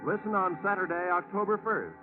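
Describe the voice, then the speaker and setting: An American man announces in classic 1940s network radio style. His voice is fairly high with very lively intonation. The broadcast sounds thin and phone-like, with music clearly underneath. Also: a little fast.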